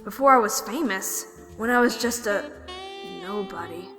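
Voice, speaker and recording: A woman's voice: mid-range voice, a little slow, big-room sound, natural voice. A woman voicing a brash, vain, lustful pegasus mare adventurer who mutters indignantly to herself in a fan audio drama. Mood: cocky, scheming. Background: clear background music.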